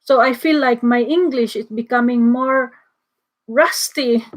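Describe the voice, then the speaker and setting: Chatty Filipino woman, slow and high. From Philippines. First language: Bisaya. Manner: chatty, laughs often, restarts sentences. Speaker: livestream host. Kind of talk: casual livestream chat reading comments.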